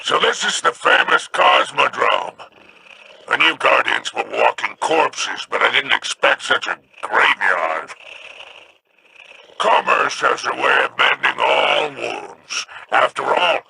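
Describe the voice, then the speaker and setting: Sly alien dealer, super gravelly and sharp, lots of swing in the voice. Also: male voice, medium pitch, lively intonation, slow pace, exaggerated voice, low-quality recording. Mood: smug. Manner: smug, wheedling. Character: sly scheming male alien trader, mocking.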